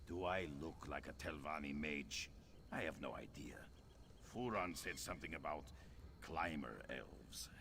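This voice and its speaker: An actor playing a gruff, weary fantasy-game sergeant, blunt and plain-spoken, with a deep gravelly voice. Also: male voice, a little slow, background noise, full character voice. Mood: exasperated. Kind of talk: video game character acting.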